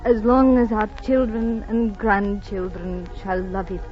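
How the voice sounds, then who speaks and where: An actress playing a devout, fiery, strong-willed Californio ranch wife, emphatic and dramatic in 1940s radio style. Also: female voice, medium pitch, level tone, measured pace, faint music behind, lightly acted. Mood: reverent. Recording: muffled vintage radio recording.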